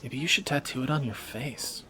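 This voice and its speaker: A man's voice: medium pitch, moderate pace, sharp tone, close to an everyday voice. A man playing a wry, flirtatious thief on the run in a romantic audio drama, speaking in teasing, sardonic banter to a companion.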